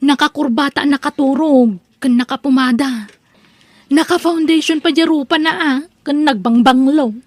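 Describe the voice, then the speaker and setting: High-voiced, worried Ilocano daughter played by a woman. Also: normal speed, no room sound, natural voice. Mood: bemused. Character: concerned grown child of confused aging parents. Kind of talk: comedy audio drama acting.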